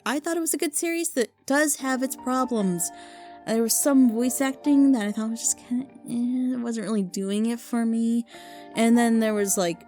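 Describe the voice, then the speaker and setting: A woman's voice: a little high, darkish tone. A woman giving casual, unscripted recommendations in an informal, gushing, rambling way, as a reviewer of audio dramas.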